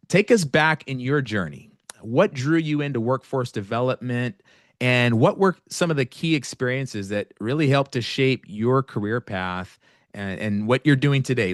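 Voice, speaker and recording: A man from Oakland hosting an interview podcast, asking measured, friendly questions and sometimes repeating words. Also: medium pitch, no echo, studio quality. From Oakland, California. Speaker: podcast host.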